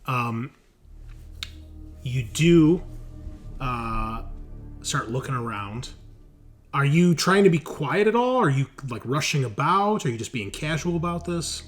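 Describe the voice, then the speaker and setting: A man's voice: mid-range voice, lively intonation, slow pace, pauses often, soft background music. A man narrating a tabletop roleplaying game as game master in a casual, conversational way.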